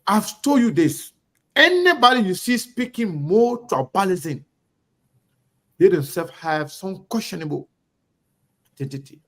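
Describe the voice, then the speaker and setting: Middle-aged Liberian man, Liberian English, high gravelly voice, emphatic talk-show host rhythm. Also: big pitch swings, a little slow, long pauses, a bit bright. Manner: emphatic, repetitive, preacher-like cadence. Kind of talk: impassioned monologue.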